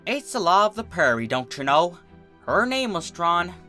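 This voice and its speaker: A man's voice: high-pitched, big pitch swings, normal speed, sharp tone, soft background music, pitched above the performer's own voice, full character voice. A man voicing a folksy mother cow, wry, proud and reasonable, in a storybook reading. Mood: reasoning.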